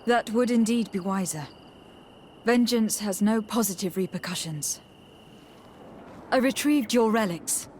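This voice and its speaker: A calm, firm young noblewoman envoy from a video game acted by a woman, formal and measured in her speech. Her mid-pitched voice sits over faint background noise. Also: lightly acted. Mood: calm, reassuring. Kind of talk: video game dialogue acting.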